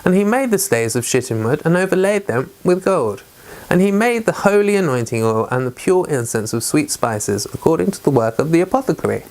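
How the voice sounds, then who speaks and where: A man hosting a daily Bible read-along, speaking in a measured, deliberate way. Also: fairly high pitch, sing-song, a little mellow. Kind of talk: reading scripture aloud with casual chat.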